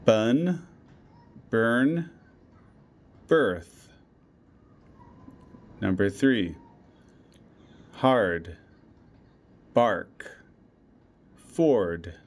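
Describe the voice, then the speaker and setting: Very slow, deliberate man reading a test. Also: on the low side, lively intonation. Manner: slow, deliberate, repeating each item twice. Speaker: test reader. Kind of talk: reading a listening test aloud.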